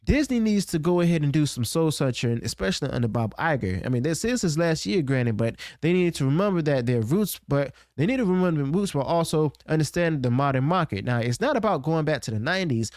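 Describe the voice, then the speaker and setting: A man's voice: a little high, expressive, a little fast, few pauses, darkish tone, dry recording. Opinionated man with a dry, close sound. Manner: emphatic, keeps tagging points with "okay?" and "now"; loose and unscripted, with restarts. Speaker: online commentary host. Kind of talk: opinionated culture commentary.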